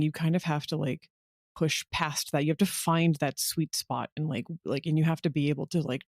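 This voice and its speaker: A woman's voice: deep voice, darkish tone, dry recording, clean studio sound. A woman chatting casually in an interview as a podcast creator, candid and self-deprecating in a rambling way.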